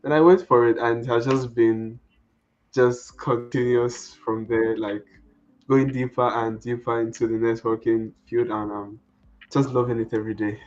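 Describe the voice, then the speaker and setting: A man's voice: medium pitch, flat delivery, moderate pace, a little mellow, muffled recording. A man from Nigeria with a Nigerian accent, giving a friendly, casual tech workshop as a guest speaker over a video call. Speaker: guest speaker, network engineer.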